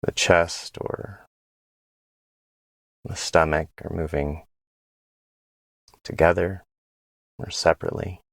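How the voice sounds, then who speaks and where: A man leading a guided body scan meditation in a gentle, unhurried way, pausing between phrases. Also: low voice, fairly even intonation, creaky, dry recording.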